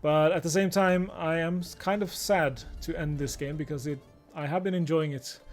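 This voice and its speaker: A man's voice: a little high, fairly even intonation, normal speed. A man chatting casually as a live game streamer, with game music behind the voice. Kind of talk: casual stream chat mixed with reading game dialogue aloud. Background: video game music and game narration.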